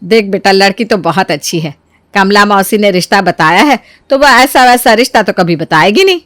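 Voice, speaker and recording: A woman's voice: medium pitch, expressive, normal speed, dry recording, clean studio sound, close to an everyday voice. A woman voicing an elderly, fond and plain-spoken mother in acted story dialogue. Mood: approving, persuading.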